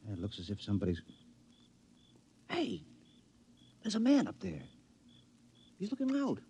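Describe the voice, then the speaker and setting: An actor playing a skeptical married friend, hesitant and full of fillers, with a husky voice, in a 1950s radio drama. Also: male voice, sits high, huge pitch swings, moderate pace, mellow, full character voice. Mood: doubtful. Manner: doubtful, hesitant, many uh fillers. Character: skeptical married friend, lodge buddy, male.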